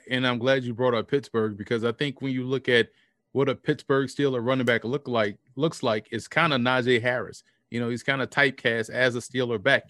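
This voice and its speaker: A man's voice: medium pitch, fairly bright tone, dry recording. Dry-voiced man from New Orleans. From New Orleans, Louisiana. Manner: explanatory, restarts phrases often. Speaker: football analyst guest. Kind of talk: sports podcast interview.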